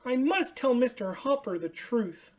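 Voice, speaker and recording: A woman voicing an excitable, fretful young male puppet in a children's storybook, exclamatory and earnest. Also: a little high, a little slow, pitched above the performer's own voice. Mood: worried, earnest.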